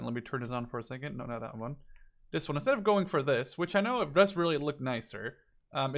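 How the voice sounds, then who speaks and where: A man's voice: fairly high pitch, a bit bright. Casual man commentating on gameplay. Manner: informal, thinking aloud, mildly profane. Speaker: video game spectator commentator.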